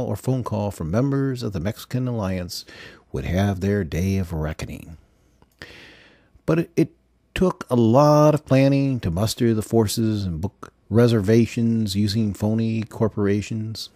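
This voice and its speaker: A man reads fiction aloud as a scripted audiobook narrator, in a measured way with the odd stumble. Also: medium pitch, warm tone, dry recording, clean studio sound.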